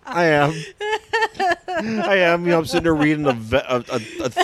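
A man's voice: on the high side, animated, normal speed, a crowd in the background. A man co-hosting a casual comic book podcast, stammering into jokey asides. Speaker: podcast co-host.

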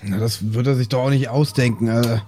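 Easygoing, blunt bandmate, played by a man in an improvised audio play, soft dark tone, slangy delivery. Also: medium pitch, steady tone, a little fast, slight background noise, close to an everyday voice. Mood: casual, sceptical. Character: Sexbomb, laid-back, blunt beer-drinking bandmate.

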